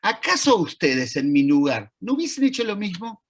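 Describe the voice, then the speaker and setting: Argentine man with a gravelly voice. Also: high voice, very animated. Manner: thoughtful, conversational, pauses to search for words. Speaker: lecturer. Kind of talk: online talk to an audience. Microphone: video call.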